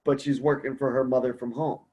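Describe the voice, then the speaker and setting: A man's voice: mid-range voice, level tone, fairly quick, mellow, slightly rough. A man speaking casually as host of a support group conference call, earnest, encouraging and a bit rambling.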